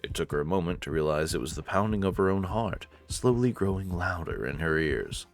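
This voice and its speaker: A man's voice: sits low, animated, a little fast. A man reading scripted narration for a visual novel. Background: faint music.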